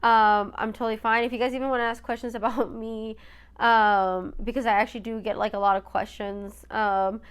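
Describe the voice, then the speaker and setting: A woman's voice: on the high side, level tone, normal speed, clean tone. A young woman hosting a solo podcast in a casual, rambling way, full of fillers, her nose stuffy from allergies. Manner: rambling, many fillers and "you guys". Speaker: podcast host.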